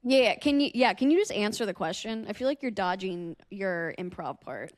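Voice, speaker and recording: A woman's voice: mid-range voice, lively intonation, moderate pace, no room sound, clean studio sound. An American woman co-hosting a podcast, chatting and telling stories in a casual, joking way. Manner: joking, teasing. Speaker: radio personality and podcast co-host. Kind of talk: casual podcast chat and storytelling.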